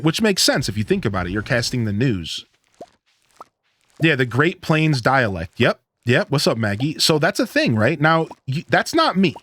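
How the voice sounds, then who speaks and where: A man's voice: mid-range voice, fairly bright tone. A man from Ohio with a Midwestern twang, chatting casually with live-stream viewers in a rambling, laid-back way. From Northeast Ohio, USA.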